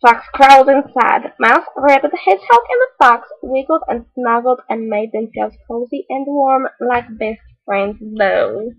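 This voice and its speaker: A woman playing a quirky, earnest read-aloud hostess with a mock foreign accent, telling a children's story with exaggerated delivery and deadpan asides. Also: fairly high pitch, a little slow, vocal fry, hardly any pauses, slightly rough, muffled recording, heavily acted.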